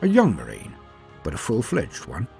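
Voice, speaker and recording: A man narrating scripted stories in a dramatic, solemn and measured way, with emphatic swells and falling sentence endings. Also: on the low side, wildly swooping pitch, creaky, mellow. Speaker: narrator and host of a fan fiction lore channel. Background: music.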